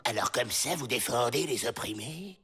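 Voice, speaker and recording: An actor playing a sarcastic, bossy, scheming outlaw in a cartoon, with a sneering way of talking. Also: male voice, medium pitch, animated, moderate pace, close to an everyday voice. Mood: mocking. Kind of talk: cartoon voice acting. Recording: loud music behind the voice.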